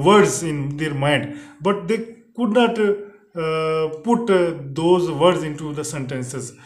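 A middle-aged man, an Urdu speaker, gives a spoken English lesson straight to camera. He talks in a slightly raised register, stopping often on "uh" and starting phrases over. The room around him rings with echo. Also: on the high side. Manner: hesitant, frequent uh fillers and restarts. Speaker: English teacher. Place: indoors, plain teal backdrop. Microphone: camera in front, head and shoulders framing. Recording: echoey room.

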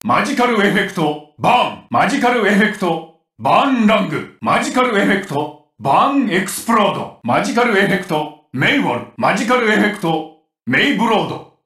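A man playing a gruff, brash, rough-spoken warrior in a Japanese anime video game. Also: high-pitched, very animated, fairly quick, roomy sound, far from the mic, exaggerated voice. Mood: focused, commanding. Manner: forceful, rough-spoken, cocky. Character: gruff, brash grown male warrior who loves cooking. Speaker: game voice actor.

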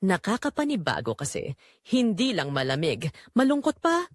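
Calm, seductive neighbour lady, read by a woman. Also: on the low side, normal speed, no echo, studio quality. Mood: soft seductive. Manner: soft-spoken, seductive. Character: calm, bold, teasing mysterious older neighbour woman. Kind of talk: audio romance story dialogue.

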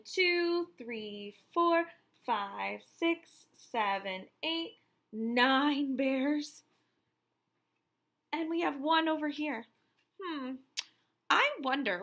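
A woman's voice: high-pitched, pushed and bright. A woman in her thirties reading aloud and counting for young children as a teacher, in an encouraging, sing-song way.

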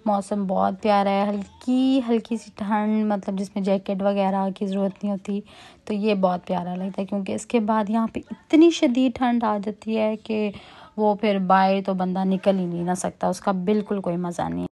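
A woman's voice: mid-range voice, level tone, normal speed, dark tone. A young adult woman narrating a shopping vlog as a casual voice-over, chatty and listing items with frequent fillers. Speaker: vlogger. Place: quiet indoor room, voice-over.

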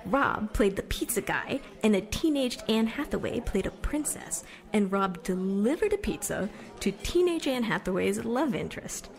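Audio drama monologue: proud, chatty young repairwoman, animated intonation, rambling everyday delivery. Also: medium pitch, moderate pace, creaky, few pauses. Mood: chatty, wry. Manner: rambling, conversational storytelling. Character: chatty, proud young woman who repairs sewing machines.

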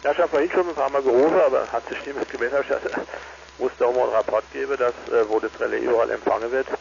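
A man's voice: mid-range voice, fairly even intonation, racing, vocal fry. A man chatting casually as an amateur radio operator over a two-way transmission. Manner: tags statements with "ne?". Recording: dull, noisy ham radio transmission.

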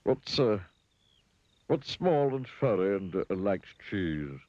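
A man's voice: fairly low pitch, big pitch swings, slow, no room sound, lo-fi sound. A man voicing a smug, sly elephant in a children's story, drawling condescendingly. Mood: mocking.

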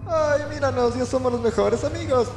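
A young man playing a cocky, wry corporate employee in a Latin American Spanish video game fan dub, joking and expressive. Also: very high voice, moderate pace. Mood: friendly, teasing. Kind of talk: amateur fandub of a video game. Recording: echoey room, music over the voice.

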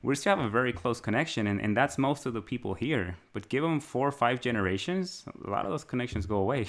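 A man's voice: medium pitch, expressive, fast talker, a bit bright. A man co-hosting a podcast, raised in Mexico, chatting casually in a rambling, musing way and laughing often. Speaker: podcast co-host. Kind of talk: casual chat.

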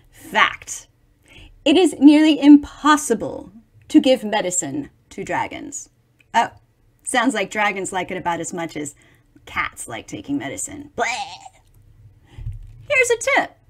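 A middle-aged woman reading a children's picture book aloud, expressively, with chatty explanations for young listeners. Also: fairly high pitch. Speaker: storybook reader for children.

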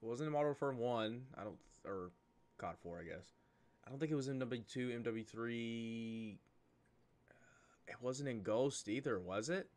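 A man's voice: medium pitch, normal speed, darkish tone. A young American man giving casual reaction commentary as the host of a gaming video. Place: indoors at a desk, computer setup.

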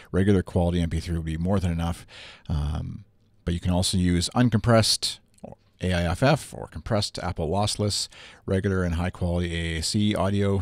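A young man with a bassy voice, talking through a software tutorial in a relaxed way with plenty of fillers, on a studio microphone. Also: no echo. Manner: relaxed explaining with frequent fillers. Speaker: tutorial presenter. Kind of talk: casual screen-recorded software tutorial. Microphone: studio microphone through USB interface.

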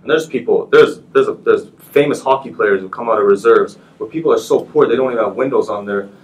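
A man's voice: medium pitch, quick pace, poor audio. Young man, interview guest, thoughtful, restarts often, faint room hum. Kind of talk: casual interview chat. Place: small TV studio.

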